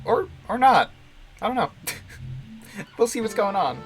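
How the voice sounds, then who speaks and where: A man's voice: high voice, big pitch swings, a little slow. A man livestreaming casual commentary on a visual novel, thinking aloud in a rambling, jokey way. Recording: music behind the voice. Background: game music.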